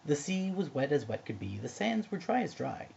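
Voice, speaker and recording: A man recites a narrative poem expressively. His voice sits a little high and is quite creaky. The home recording sounds slightly dull. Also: very animated, moderate pace, darkish tone, slight background noise. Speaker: amateur poetry reader.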